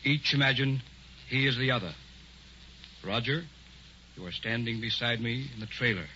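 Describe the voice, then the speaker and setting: Radio play villain, male actor: escaped mad doctor, cold, commanding, forceful edge, faintly noisy vintage sound. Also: medium pitch, moderate pace, natural voice. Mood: commanding, intent. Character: mad escaped doctor posing as professor, coldly menacing. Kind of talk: old-time radio drama acting. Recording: dull, hissy old radio recording.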